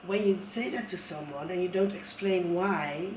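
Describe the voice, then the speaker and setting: A man's voice: high voice, moderate pace, slight background noise. A man speaking earnestly and thoughtfully in a casual conversation, often restarting his sentences. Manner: earnest, reflective, with many restarts. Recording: roomy sound from a distant mic.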